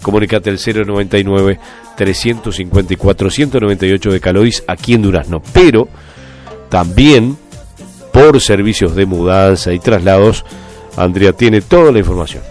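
A Uruguayan man co-hosting a local radio show, reading a sponsor spot in a relaxed way. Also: low-pitched, moderate pace, faint music behind. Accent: Uruguayan Spanish. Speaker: radio co-host. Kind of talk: casual radio chat and sponsor reads.

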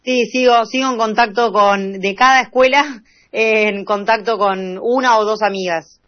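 A woman's voice: a little high, fairly even intonation, unhurried. A woman with a Buenos Aires Argentine accent answering questions as a guest in an interview. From Buenos Aires province, Argentina. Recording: slightly dull, lo-fi radio sound.

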